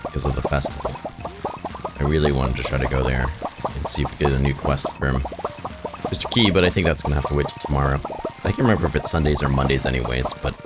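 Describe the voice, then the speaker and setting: A man with an extremely low, hoarse and raspy voice talks loosely through a gameplay session, thinking aloud as he goes. His voice sounds worn from shouting the night before. Game music plays clearly under his talk. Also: fairly even intonation, fast. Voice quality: raspy, rough voice. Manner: rambling, thinking aloud while playing. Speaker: gaming video host. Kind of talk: casual gameplay commentary.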